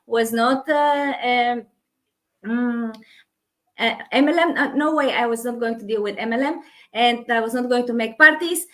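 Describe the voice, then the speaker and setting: A woman with a Hungarian accent who runs an online business, talking in a halting way with many fillers and restarts. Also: a little high. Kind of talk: casual conversation.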